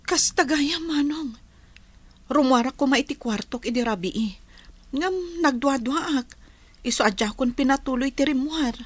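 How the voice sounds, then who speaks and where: A woman playing a dutiful, gentle young village woman, a little sister in an Ilocano radio drama. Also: high voice, measured pace, no echo. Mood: respectful, worried.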